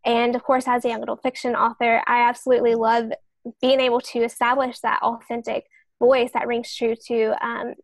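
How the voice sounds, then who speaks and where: A woman with a fairly high voice talks on a podcast panel. She explains things earnestly, as an author giving advice. The sound is dry, with little room around it. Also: little pitch movement, normal speed, dry recording. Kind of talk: podcast discussion, explaining writing tips.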